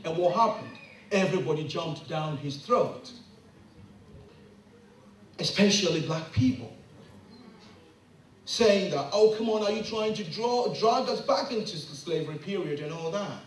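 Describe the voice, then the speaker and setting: A middle-aged man addresses a meeting with passion, his high voice swinging widely through rhetorical questions. He is heard from a distance in a very echoey room. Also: expressive, measured pace, pauses often, a little hiss or hum. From Ghana. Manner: rhetorical questions, rising to emphatic peaks. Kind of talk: impassioned public address.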